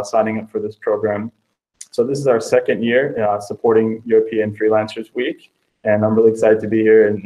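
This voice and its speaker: A man talking through headphones on a video call, mid-pitched and flat in tone. He speaks fast and fluently, in a polished, promotional manner. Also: rough recording. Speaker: panelist, head of marketing. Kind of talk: online panel introduction.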